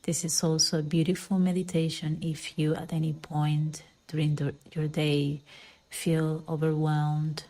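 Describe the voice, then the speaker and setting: A woman leading a guided meditation in a soft, soothing way, with phrase endings that fall into breathy near-whispers. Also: low voice, little pitch movement, measured pace. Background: background music.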